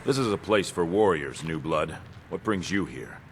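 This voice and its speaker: An actor playing a suspicious, haughty warrior who talks down to newcomers in a video game. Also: male voice, sits low, expressive, normal speed, breathy edge. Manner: challenging, condescending. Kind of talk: video game character dialogue.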